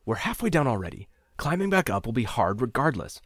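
A man's voice: mid-range voice, big pitch swings, a little fast, a bit bright, slightly rough, dry recording, pitched above the performer's own voice. A man reading a story aloud, voicing a desperate young man who argues insistently and will not back down. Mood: urgent, insistent. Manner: pushing, insistent. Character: desperate young man, stubborn, arguing hard.

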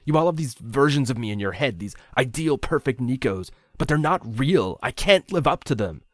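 A man's voice: mid-range voice, sing-song, a little fast, slightly rough, dry recording, studio quality, voice pushed up. A man reading a story aloud, voicing a frightened, angry young man who pleads, accuses and swears often. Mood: panicked, furious.

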